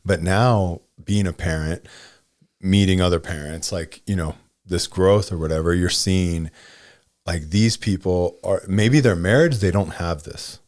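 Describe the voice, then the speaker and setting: A man's voice: low-pitched, a little slow, darkish tone, dry recording, studio quality. A man in his forties co-hosting a casual podcast, thinking aloud and often checking in with "right?" and "you know". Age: 40s. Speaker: podcast co-host.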